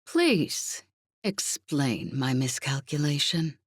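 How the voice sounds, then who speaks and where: A woman voicing a perceptive, ironic female villain in an audiobook, speaking deliberately with cool curiosity and menace. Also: sits low, big pitch swings, slightly rough, no echo, clean studio sound, exaggerated voice. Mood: ironic, curious. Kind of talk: audiobook character acting.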